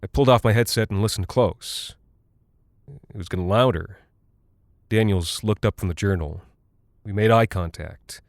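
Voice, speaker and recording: Weary American military pilot. Lowish, dry close sound, folksy and rattled, halting confessional monologue for audio drama. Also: male voice, vocal fry, no room sound. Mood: uneasy, confiding. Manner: halting, confiding, colloquial. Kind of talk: first-person audio drama monologue, audio log.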